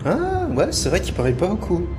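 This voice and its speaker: A man's voice: high voice, wildly swooping pitch, normal speed. A man playing a small, cunning young thief, hesitant and cheeky, in an audio adventure drama. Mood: playful, cheeky. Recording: loud music behind the voice.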